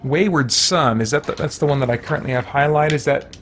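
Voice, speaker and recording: A man's voice: fairly high pitch, brisk, fairly bright tone, a little hiss or hum. A man giving casual gameplay commentary as a streamer, musing to himself as he goes. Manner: thinking aloud, musing to himself. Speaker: game streamer.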